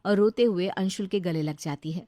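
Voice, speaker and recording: A woman narrating a Hindi moral story in a steady, plain storytelling manner. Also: mid-range voice, moderate pace.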